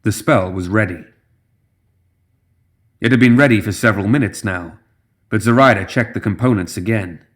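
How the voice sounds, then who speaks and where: A man's voice: fairly low pitch, moderate pace, long pauses. A man reading scripted fantasy fiction as an audiobook narrator.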